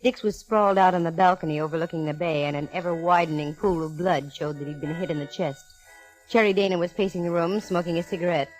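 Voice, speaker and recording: A woman's voice: fairly low pitch, fast talker, a little mellow, faint music behind, natural voice, low-quality recording. An American actress playing a sassy, hard-boiled female private eye in 1940s radio drama, with wry, wisecracking delivery. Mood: dry narration. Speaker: lead actress and narrator. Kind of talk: 1940s radio detective acting with wry first-person narration.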